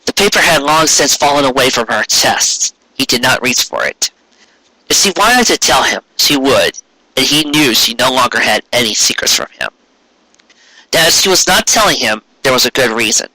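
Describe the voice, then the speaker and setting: A man's voice: mid-range voice, animated, normal speed, bright, forceful tone, no room sound, poor audio. A man narrating a scripted story as a dramatic fan fiction reading.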